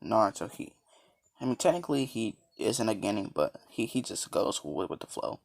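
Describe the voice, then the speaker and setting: A man with a raspy, just-woken-up voice telling a fan story in a casual, rambling way. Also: lowish voice, sing-song, normal speed. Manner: rambling, laid-back, lots of fillers. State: just woke up, dry voice. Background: background music.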